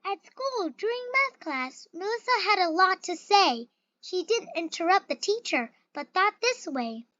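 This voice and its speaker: A reader with an expressive, carefully phrased delivery, reading a children's picture book aloud. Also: very high-pitched, a little slow, no room sound, highs cut off. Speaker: story reader.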